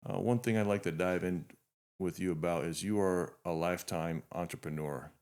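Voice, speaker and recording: Podcast host, man, 50, very deep voice, sincere reflective delivery, restarts often. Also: moderate pace, a little mellow. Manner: earnest, reflective, frequent restarts. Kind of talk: heartfelt interview introduction.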